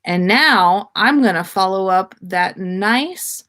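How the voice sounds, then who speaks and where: A woman's voice: medium pitch, expressive, slow pace, fairly bright tone. A woman host reading a story aloud in a storytelling style, with casual asides. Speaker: podcast host reading listener stories.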